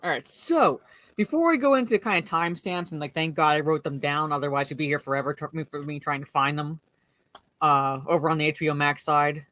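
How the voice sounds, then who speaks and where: A woman with a slightly deep voice hosting a casual movie chat, rambling with lots of fillers and restarts. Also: a little fast, a bit bright, dry recording. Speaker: podcast host.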